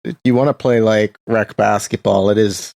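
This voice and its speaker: A man's voice: on the low side, fairly even intonation, normal speed, vocal fry, darkish tone, no room sound, studio quality. A man co-hosting a radio show in casual, wry and drily critical chat. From Canada. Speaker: radio co-host.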